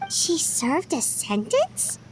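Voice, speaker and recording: Tiny, squeaky, very high-pitched creature. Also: female voice, wildly swooping pitch, slow pace, full character voice, poor audio. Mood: curious, apologetic. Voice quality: squeaky, childlike. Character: tiny childlike female creature, earnest, innocent, anxious. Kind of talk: cute video game voice acting. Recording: clear music behind the voice.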